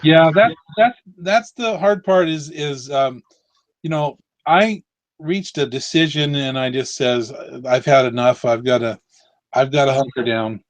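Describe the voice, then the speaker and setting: A man's voice: medium pitch, measured pace. A man in his late forties hosting a casual live video chat, rambling and correcting himself. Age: 40s. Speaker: live stream host.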